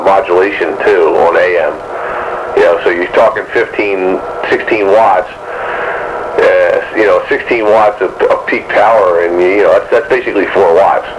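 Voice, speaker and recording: Male host, very low register, casual technical radio chatter, clearly noisy transmission. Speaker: host of a radio enthusiasts' call-in roundup. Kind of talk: casual technical chat over two-way radio.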